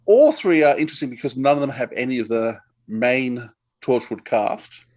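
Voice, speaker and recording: A man's voice: mid-range voice, animated, moderate pace, darkish tone. A man in casual chat as a podcast co-host, giving recommendations in a rambling, enthusiastic way.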